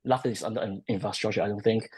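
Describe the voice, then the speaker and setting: Husky-voiced man, halting webinar talk. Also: lowish voice, fairly even intonation, a little mellow. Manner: hesitant, many ums and restarts. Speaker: policy research guest. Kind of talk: webinar discussion.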